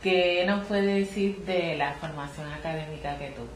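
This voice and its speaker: A woman student interviewer asking formal, somewhat halting questions. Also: on the low side. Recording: echoey room with background noise.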